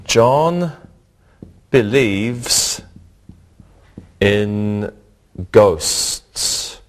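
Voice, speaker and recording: A man teaching an English vocabulary lesson, speaking deliberately and repeating words. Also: on the low side, expressive. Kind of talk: slow, clear language lesson.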